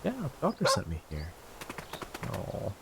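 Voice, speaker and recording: A man casually commenting while playing a game, gasping and jumping high in pitch when surprised. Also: wildly swooping pitch, a little slow, vocal fry, very noisy. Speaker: streamer. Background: game music.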